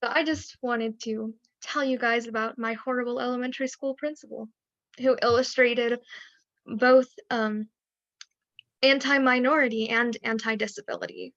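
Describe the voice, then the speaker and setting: A woman with a voice a bit higher than most tells a personal story frankly, now and then breaking into small rueful laughs. She comes through a computer mic on a video call, and the sound is dry and dull. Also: fairly even intonation, normal speed, fairly bright tone, no echo. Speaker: webinar panelist.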